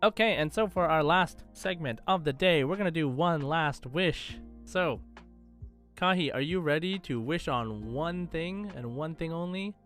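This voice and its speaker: A man's voice: a little high, a little slow, fairly bright tone, soft background music. A man co-hosting a casual podcast about gaming, talking informally to a co-host and listeners.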